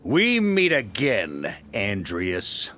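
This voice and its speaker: A man playing a confident, gruff, seasoned knight grandmaster in a video game. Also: lowish voice, huge pitch swings, extremely slow, sharp tone, big-room sound, a little hiss or hum, exaggerated voice. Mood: steady, commanding. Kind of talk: video game cutscene acting.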